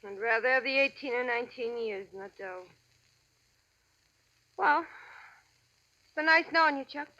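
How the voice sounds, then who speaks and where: An actress playing a hard-boiled, sardonic American gangster's moll with tough, sarcastic slang, in 1940s radio crime drama style. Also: female voice, a little high, moderate pace, pushed and bright. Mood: scornful. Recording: dull, band-limited old radio recording.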